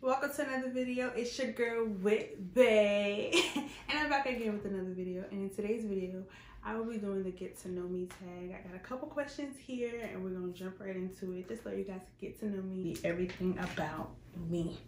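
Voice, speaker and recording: A woman's voice: mid-range voice, fairly quick. Young New woman, roomy sound. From Queens, New York, United States. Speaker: vlogger. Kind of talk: casual question-and-answer chat to viewers. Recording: roomy echo, faint background noise.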